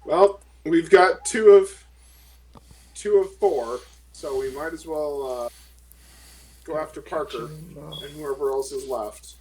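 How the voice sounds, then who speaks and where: A man with a notably high voice chats at a tabletop role-playing game. He speaks casually, with faint noise in the recording. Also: slow pace, pauses often, darkish tone, low-quality recording.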